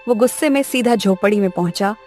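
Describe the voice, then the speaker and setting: A woman's voice: medium pitch, fairly quick, darkish tone, faint music behind. A woman narrating a scripted children's moral story.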